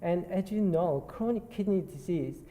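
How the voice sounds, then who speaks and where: A man with a Korean accent speaks at a conference in a halting way, full of fillers. His voice is soft and mellow. It rings through a large reverberant hall over faint ambient noise. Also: high voice, animated. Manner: halting, self-deprecating, many fillers. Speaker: nurse presenter. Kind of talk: conference talk.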